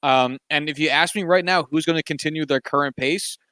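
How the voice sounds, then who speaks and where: A man's voice: fairly high pitch, fairly quick, sharp tone, clean tone, dry recording. A man co-hosting a casual sports podcast, talking in a wry, sarcastic, rambling way with plenty of fillers. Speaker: podcast co-host.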